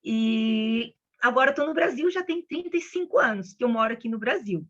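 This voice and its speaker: A woman's voice: a little high, expressive, brisk. A woman whose first language is Spanish, speaking Portuguese in chatty opening remarks at an online conference, with many restarts. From Venezuela. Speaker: invited lecturer. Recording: dull lo-fi audio.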